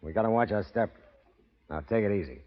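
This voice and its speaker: A man's voice: lowish voice, brisk, natural voice. A man playing a cold, scheming outlaw villain with folksy cowboy diction in a radio western. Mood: wary commanding. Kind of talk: 1940s radio western acting. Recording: muffled vintage radio broadcast.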